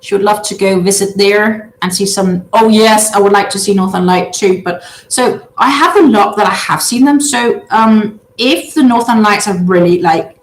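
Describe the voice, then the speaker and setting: Woman, Danish accent, thirties, native Danish speaker, livestream host, casual halting chat with many filler sounds, roomy echo. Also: mid-range voice, slight background noise. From Denmark. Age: 30s. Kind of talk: casual livestream chat, reading out viewer comments. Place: large open-plan living room at home.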